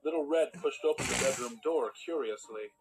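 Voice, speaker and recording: A man's voice: medium pitch, brisk, creaky, music in the background, low-quality recording. A man narrating a scripted fairy tale in storybook style.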